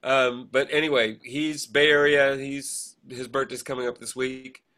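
A man co-hosting a podcast, chatting casually and rambling through shout-outs with hesitant, digressive asides. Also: medium pitch, little pitch movement, measured pace. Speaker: podcast co-host.

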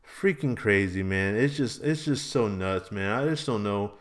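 A man in his early thirties with a fairly low voice talks like a solo podcast host. His delivery is loose and rambling, full of informal slang and exclamations, as if chatting with friends. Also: expressive, unhurried.

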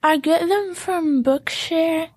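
Young woman, high-pitched and slow. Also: no echo. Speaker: podcast team member. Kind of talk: reading facts and casual chat.

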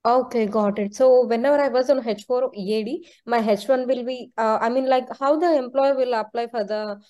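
A woman calling for advice, asking questions in a halting way and rephrasing as she goes. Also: a little high, steady tone, a little mellow. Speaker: caller seeking legal advice.

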